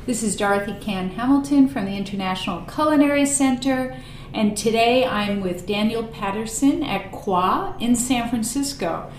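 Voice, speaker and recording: A woman hosting a cooking interview show, enthusiastic and a little halting with fillers as she asks questions. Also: a little high, reverberant, background noise. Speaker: host. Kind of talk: interview host.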